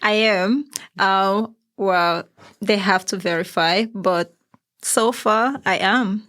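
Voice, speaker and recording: A woman from Nigeria with a Nigerian accent, a guest giving short, soft-spoken answers in a studio interview. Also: mid-range voice, slow pace. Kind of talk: casual interview chat.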